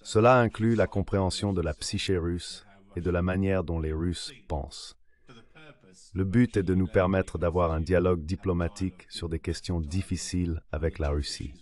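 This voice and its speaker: This is a man's voice, clearly synthetic and very low in pitch. It delivers a scripted translation voice-over for an interview guest. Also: fairly even intonation, moderate pace, darkish tone.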